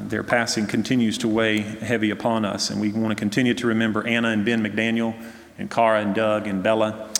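A man's voice: fairly low pitch, creaky, a bit bright. Earnest male pastor in echoing church. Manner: earnest, measured, with frequent "uh" fillers. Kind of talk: sermon with pastoral prayer concerns. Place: church sanctuary. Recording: long church reverb.

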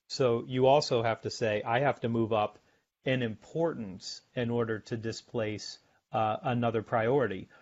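A man's voice: medium pitch, a little mellow, dull audio. A man speaking as a guest expert in a podcast interview, explaining things conversationally in short, emphatic, instructive phrases.

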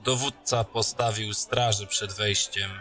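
A man playing a teasing, bright-voiced captain. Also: on the low side, fairly even intonation, a little slow. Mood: friendly. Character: jokey male captain, old friend. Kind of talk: fantasy audio drama acting.

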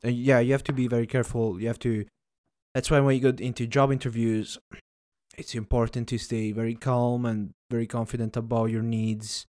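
A man whose first language is Italian hosts a casual podcast chat. He talks in a friendly, conversational way, with fillers here and there and an easy laugh. His pitch sits in the middle for a man. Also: flat delivery, fairly quick, darkish tone. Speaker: podcast host.

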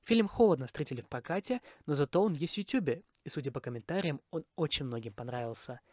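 A man's voice: on the high side, expressive, quick pace, a little mellow, dry recording, studio quality. A man from Kazakhstan hosting a podcast, delivering a scripted review monologue. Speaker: podcast host.

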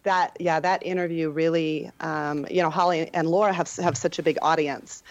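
A woman in her forties, a nutritionist and health coach, speaking as a guest on a casual podcast, earnest and halting with many restarts. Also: sits low, fairly even intonation. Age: 40s. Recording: dull lo-fi remote line.

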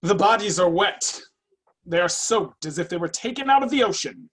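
A woman in a readers' theatre play, playing an officious, matter-of-fact young office supervisor with a slightly husky voice. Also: lowish voice, lively intonation, moderate pace. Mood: puzzled.

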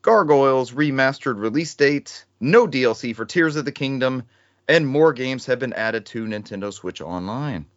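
A man's voice: mid-range voice, expressive, normal speed, no echo, muffled recording. A man hosting a gaming podcast with casual, joking banter and some swearing. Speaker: podcast host.

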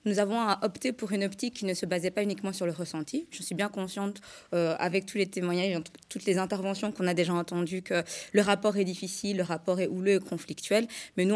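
A young woman with a somewhat low voice lays out a study's findings. She is methodical and explanatory, linking her points with frequent fillers. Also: darkish tone. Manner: explanatory, structured, frequent "donc" and "euh". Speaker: youth organisation vice-president. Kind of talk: panel presentation.

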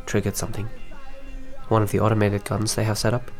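An actor playing a guarded, earnest young East German activist in a radio drama, speaking in an intense, halting way. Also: male voice, fairly low pitch, completely flat delivery, soft background music, lightly acted. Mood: grim, grieving.

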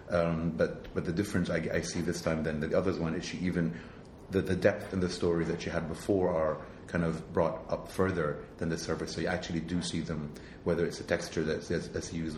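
Male interview host, very low voice, rambling delivery, echoey room. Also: a little mellow, slight background noise. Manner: rambling, restarts phrases often. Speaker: podcast host. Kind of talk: casual interview.

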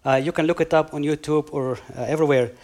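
A man with an Egyptian accent, a native Arabic speaker, preaching a sermon as a guest pastor, halting now and then as he hunts for words. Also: on the high side, fairly even intonation, a little mellow. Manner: halting, hunting for words with many pauses.

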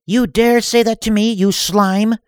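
A man's voice: mid-range voice, big pitch swings, normal speed, no echo, studio quality, pitched above the performer's own voice. A haughty, unhinged Sith princess who threatens and bosses in a raised, imperious voice, as a man puts her on. She is a storybook villain with a cruel, theatrical streak.

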